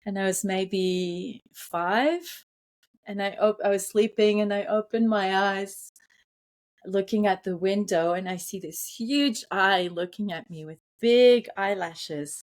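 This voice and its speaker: Slow, thoughtful woman telling personal stories. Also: mid-range voice. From born in France, grew up partly in Kenya. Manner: hesitant, thoughtful phrasing. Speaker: interview guest, environmental psychologist. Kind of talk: reflective personal storytelling in conversation.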